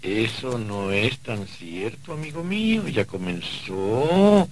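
A bossy archangel on a call, slow and wry, mid pitch, old radio play. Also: male voice, sing-song, rough recording. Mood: knowing. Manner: wry, unhurried, condescending. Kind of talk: old radio drama acting.

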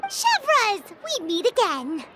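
A woman voicing a tiny, childlike fairy companion in a video game, chirpy, squeaky and curious. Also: huge pitch swings, slow, exaggerated voice. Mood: cheerful. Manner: chirpy, third-person self-reference, squeaky. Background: background music.

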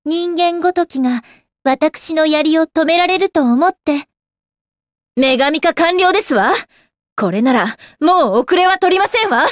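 A woman's voice: sits high, animated, a little slow, no room sound, clean studio sound. An actress playing a proud, composed young goddess warrior in a game, with refined, ladylike and formal speech. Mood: fierce, haughty. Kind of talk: Japanese anime game character acting. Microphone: close dry studio microphone.

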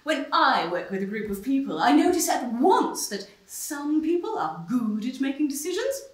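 A lecturing, assertive debater acted by a woman in a stage play. Her fairly high voice is animated and rises and falls. The sound is roomy and distant, from a theater stage. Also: moderate pace. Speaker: debate guest. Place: small stone-walled theater stage.

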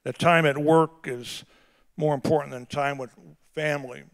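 A middle-aged male pastor with a big voice, preaching a sermon that swings from quiet, conversational asides to shouted, drawn-out emphasis. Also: fairly high pitch, steady tone. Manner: drawn-out emphatic phrasing on key words.

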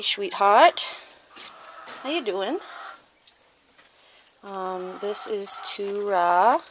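A young woman giving a casual handheld tour of her chickens and rabbits. She chats along and coos at the animals. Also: mid-range voice. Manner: chatty, talks baby-talk to her animals. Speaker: small farm owner showing her animals. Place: outdoor coop and rabbit hutches. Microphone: handheld camera held close. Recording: noisy outdoor camera audio. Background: clucking chickens and birds.

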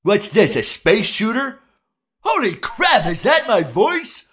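Panicked cartoon animatronic. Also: male voice, high-pitched, wildly swooping pitch, slow pace, husky, heavily acted. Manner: panicked shouting.

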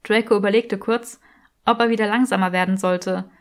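Hörbuchsprecherin mit mittlerer Stimmlage. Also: female voice, mid-range voice, steady tone, moderate pace. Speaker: audiobook narrator. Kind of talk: scripted story narration.

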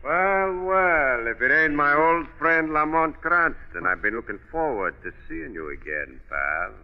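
A man's voice: on the high side, very animated, measured pace. An actor playing a menacing, cocky escaped convict in 1940s radio style, with slangy, sneering tough-guy talk. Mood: gloating menace. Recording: tinny, muffled old radio recording.

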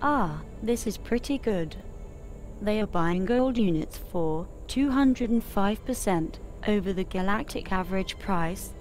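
Woman as a measured female spaceship computer assistant. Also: mid-range voice, lively intonation, darkish tone, lightly acted. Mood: helpful, informative. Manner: measured, informative. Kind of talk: scripted game assistant announcements. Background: music behind the voice.

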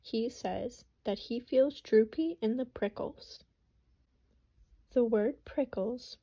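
Young female student teacher reading to kids, slow and mellow, explanatory. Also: a little high. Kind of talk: children's book read-aloud with vocabulary lesson.

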